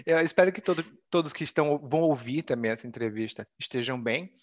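A Brazilian man with a Brazilian Portuguese accent, hosting a language-learning podcast and speaking clearly and slowly like a teacher. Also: medium pitch, dull audio. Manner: clear, slow teacher's speech with lively rises.

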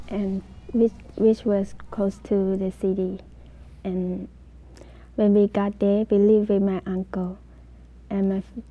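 Slow, very mellow young woman from Laos. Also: mid-range voice, rough recording. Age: young adult. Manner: halting, simple phrasing. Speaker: interview guest. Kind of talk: personal storytelling in an interview.

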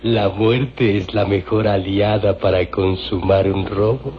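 An actor playing a greedy, murderous tomb robber, sly and menacing, in a radio drama. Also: male voice, fairly low pitch, slow, a loud crowd. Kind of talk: Mexican radio drama acting. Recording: lo-fi radio recording under loud music.

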